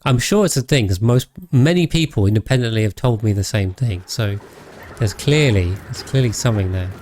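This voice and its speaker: A British man streams a game in a fairly low, calm voice. He talks casually to his viewers and thinks aloud as he goes, measured and unhurried. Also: a little hiss or hum. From Britain. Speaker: live streamer, host. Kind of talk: casual game commentary while chatting with viewers.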